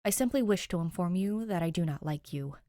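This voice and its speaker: A woman's voice: on the low side, lively intonation, fairly quick, warm tone, dry recording, clean studio sound, natural voice. A woman voicing a grumpy, guarded boy with formal, clipped, disdainful speech in an audiobook-style story. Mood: stiff disapproval.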